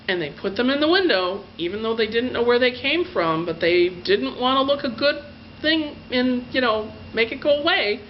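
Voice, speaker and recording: A woman's voice: on the high side, expressive. Woman telling a fairy tale, lo-fi recording. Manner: gushing emphasis, drawn-out adjectives. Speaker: storyteller. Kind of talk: animated bedtime fairy-tale storytelling, told in own words. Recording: dull lo-fi sound with faint noise.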